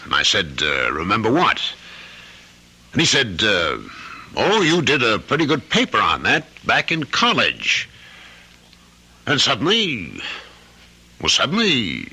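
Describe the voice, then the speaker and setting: Slippery executive husband in old radio play, low husky voice, swings all over, placating. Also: male voice, huge pitch swings, a little slow, long pauses, sharp tone, heavily acted. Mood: evasive. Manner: hesitant, placating, self-justifying. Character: evasive, smooth-talking male company executive and husband. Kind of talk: old-time radio drama acting.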